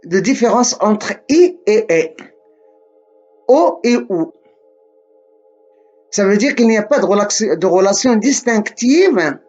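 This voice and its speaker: A man from Morocco giving a slow, deliberate spoken lesson, often repeating and correcting himself. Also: high voice, darkish tone, dull audio.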